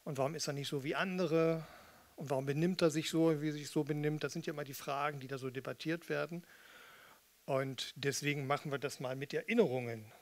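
A man's voice: a little high, fairly even intonation, brisk. A middle-aged man from East Germany performing spoken word on stage at a close microphone. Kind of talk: stage talk and spoken-word poetry over guitar. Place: small theatre hall with red seats, indoors. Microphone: stand microphone with pop filter, close. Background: electric guitar playing.

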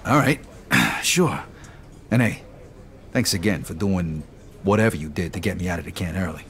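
An actor playing a young, tough, plainspoken mobster in a game cutscene, casual and streetwise. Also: male voice, sits low, animated, moderate pace, fairly bright tone. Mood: respectful.

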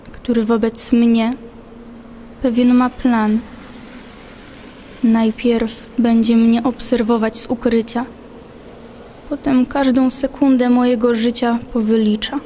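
A young woman giving a measured, deliberate dramatic recitation of poetry in an audio play. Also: fairly high pitch, warm tone, slight background noise.

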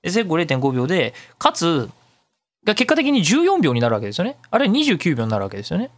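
A man's voice: on the high side, huge pitch swings, fast, no echo. A man hosting a casual solo radio talk, chatty and emphatic as he explains things step by step. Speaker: radio show host. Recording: music behind the voice. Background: background music.

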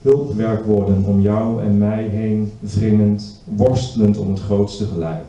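Very dark-voiced middle-aged man reading poetry. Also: lowish voice, steady tone, slow pace, highs cut off. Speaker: poet. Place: small indoor stage with curtains. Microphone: stand microphone. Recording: roomy distant live stage recording.

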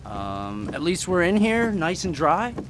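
An actor playing a wisecracking, upbeat young husband on honeymoon, in old-time radio drama style. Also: male voice, a little high, big pitch swings, measured pace, noisy background. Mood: upbeat, polite. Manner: jaunty, polite, wry.

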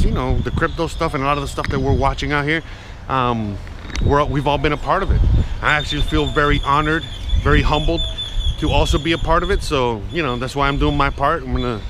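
Chatty man vlogging on a noisy street. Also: medium pitch. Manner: rambling and chatty, frequent fillers. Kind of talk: casual walking vlog. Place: outdoors on a sunny city street. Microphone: action camera held at arm's length. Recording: loud street and wind noise.

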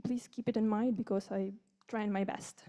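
A woman's voice: mid-range voice, steady tone, moderate pace, mellow. A fifteen-year-old girl with a Ukrainian accent, a native Ukrainian speaker, giving a friendly, informal presentation to an audience. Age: 15, teenager. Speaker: youth speaker presenting at a conference. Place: conference room with seated audience.

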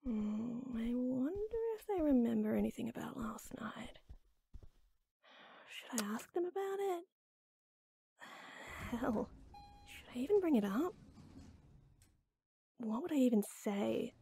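Woman acting as a flustered lovestruck girlfriend type, high-pitched. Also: sing-song, slow, vocal fry, long pauses, warm tone, a little hiss or hum, exaggerated voice. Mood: anxious, musing. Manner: hesitant, flustered, teasing, giggly. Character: flustered, lovestruck young woman teasing her friend. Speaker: audio roleplay voice actor. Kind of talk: intimate audio roleplay acting.

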